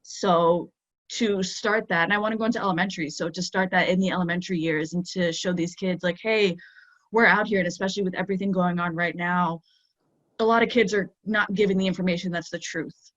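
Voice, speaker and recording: A woman's voice: lowish voice, steady tone, a little fast, a bit bright, dry recording. A woman graduate student on a panel, eagerly and casually explaining why she wants to teach. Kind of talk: personal account. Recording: dull lo-fi video call audio.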